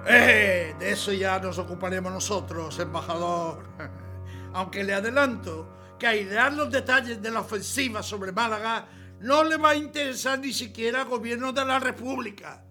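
Male actor as contemptuous army general, commanding, sneering, very high forceful voice, audio drama. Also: moderate pace, faint music behind. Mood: cold, dismissive. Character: arrogant, contemptuous Spanish fascist army general.

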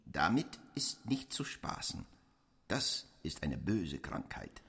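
A man's voice: very deep voice, big pitch swings, slow pace, creaky, fairly bright tone, heavily acted. An actor in a German radio play playing a crude, bossy man who acts as mock-solemn officiant, in a theatrical, declamatory way. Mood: gruff, scolding.

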